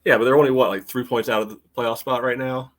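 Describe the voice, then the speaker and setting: An American man giving casual sports analysis in a halting way, often padding with filler phrases. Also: medium pitch, fairly even intonation, fairly bright tone. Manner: halting, frequent "you know". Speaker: podcast panelist.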